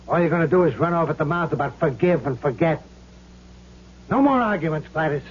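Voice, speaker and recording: A man acts out a cocky gangster ghost bent on revenge, giving orders in brash slang. His voice sits a little high. The old radio recording is muffled, with faint noise. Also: animated, brisk, lightly acted. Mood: bossy, cocky. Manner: brash, bossy, sneering tough-guy slang. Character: cocky vengeful ghost gangster possessing another man's body. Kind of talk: old-time radio drama acting.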